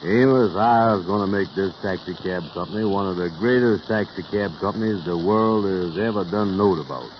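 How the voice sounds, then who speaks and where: A man's voice: deep voice, normal speed, hardly any pauses, lightly acted. A man playing a self-important, scheming taxi company boss in old radio comedy, with folksy, ungrammatical talk. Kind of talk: 1930s radio comedy acting. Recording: muffled, noisy vintage radio recording.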